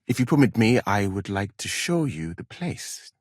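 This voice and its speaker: An actor playing a courteous, learned physician from Mali in a medieval video game, speaking in formal, measured phrases with gentle gallantry. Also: male voice, lowish voice, very animated, dry recording, clean studio sound. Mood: gentle, admiring.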